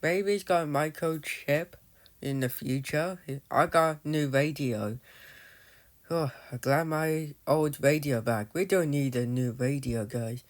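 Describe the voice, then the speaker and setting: Man, slow-talking, slightly high voice, rambling game commentary, restarts often. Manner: rambling, loses his thread, restarts often; often addresses viewers as "guys". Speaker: gamer narrating his play. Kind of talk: casual gameplay commentary.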